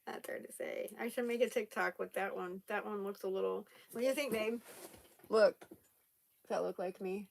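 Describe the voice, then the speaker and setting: A woman's voice: medium pitch, sing-song, measured pace, vocal fry, long pauses, sharp tone. A young woman with a slight lisp, chatting casually to camera at home as she reads viewer comments, laughing often. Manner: chatty, laughs often, many fillers and restarts. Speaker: vlogger reading viewer comments.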